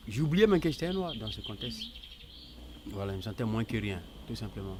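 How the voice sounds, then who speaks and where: A man's voice: on the low side, very animated, brisk. A middle-aged man giving candid, reflective personal testimony in a conversational way, with birdsong and noise around him. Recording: clear outdoor noise with birdsong. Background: birdsong and ambience.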